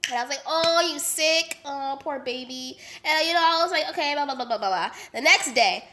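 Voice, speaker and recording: A young woman from Las Vegas, sick and congested with a nasal voice, telling a story in a chatty, animated way full of slang and fillers. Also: high voice, brisk, noisy background. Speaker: vlogger. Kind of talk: casual storytime vlog.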